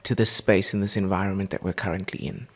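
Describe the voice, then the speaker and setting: A middle-aged man with a South African accent, talking as a podcast guest in an earnest, heartfelt way, using warm spiritual phrases. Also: low voice, flat delivery, fast talker. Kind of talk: warm, earnest conversational storytelling.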